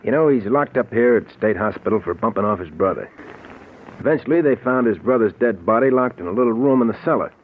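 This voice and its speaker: An actor playing a plain-spoken, deferential hospital attendant in 1940s radio-drama style. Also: male voice, lowish voice, lightly acted. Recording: narrow, noisy 1940s radio recording.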